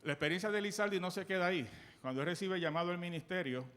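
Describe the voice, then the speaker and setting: Puerto rican guy preaching in church, kinda high voice, animated, keeps asking the crowd stuff. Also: very fast. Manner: animated, call-and-response questions to the congregation; swings between loud bursts and soft quoted lines. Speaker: guest preacher. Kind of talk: sermon on church history. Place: church sanctuary.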